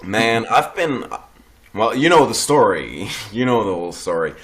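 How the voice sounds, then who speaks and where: A man's voice: lowish voice, sing-song, a little slow, fairly bright tone. A man from the Netherlands chatting casually as a guest, hesitant, often restarting his sentences and swearing offhandedly. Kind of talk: casual chat.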